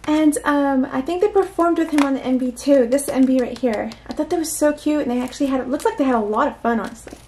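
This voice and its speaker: A woman's voice: high-pitched, fast, hardly any pauses, mellow, slight background noise. A woman chatting casually through an unboxing, giggly and full of little exclamations as she talks to herself. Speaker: unboxing vlogger. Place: indoors at a tabletop.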